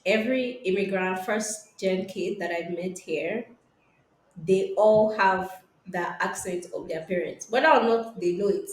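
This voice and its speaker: A woman's voice: mid-range voice, unhurried. A woman hosting a podcast in a casual, chatty way, often agreeing along with short fillers. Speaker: podcast host. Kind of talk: casual chat. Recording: echoey room with faint noise.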